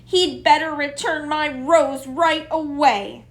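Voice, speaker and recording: A woman voicing an excitable, fretful but kind little girl in a storybook, exclaiming dramatically. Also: sits high, slow, pitched above the performer's own voice. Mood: indignant.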